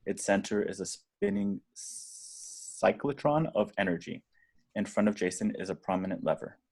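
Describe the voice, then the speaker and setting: Deep-voiced man narrating a screenplay. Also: sing-song, moderate pace, gravelly, a little mellow, breathy edge. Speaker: stage directions reader.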